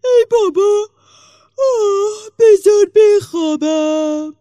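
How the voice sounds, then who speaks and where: Bellowing, high-pitched furious demon. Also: female voice, dry recording, studio quality. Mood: sleepy, annoyed. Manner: loud, drawn-out, bellowing.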